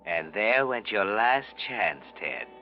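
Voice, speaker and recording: An actor playing an ominous narrator who taunts in terse second-person storytelling, in 1940s radio suspense style. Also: male voice, on the low side, expressive, slow, soft background music. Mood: ominous, taunting. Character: ominous male narrator taunting the guilty protagonist. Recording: muffled old radio recording.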